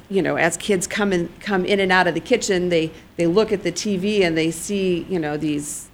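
A woman answering interview questions earnestly, halting with fillers as she tells a family story. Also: fairly low pitch, creaky, slight background noise. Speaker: guest.

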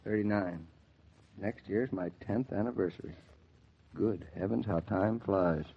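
A man's voice: lowish voice, a little slow, creaky, husky, full character voice. An actor playing a casual, teasing husband in his thirties, boyishly keen, in a 1940s American radio drama. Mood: amused, easygoing. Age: 30s. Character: easygoing, teasing young husband, a keen college football fan. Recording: muffled 1940s radio recording.

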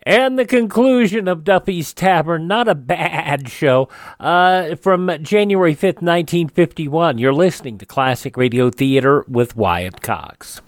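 A man's voice: on the high side, a little slow, no room sound, studio quality. A man hosting a radio programme, presenting introductions and announcements. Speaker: radio host. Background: music.

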